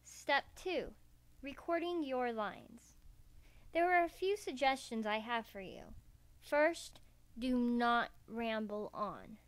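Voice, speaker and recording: High-voiced woman giving a tutorial. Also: lively intonation, slow pace, no echo. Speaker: voice acting tutorial presenter. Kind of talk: scripted instructional narration. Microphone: USB karaoke microphone.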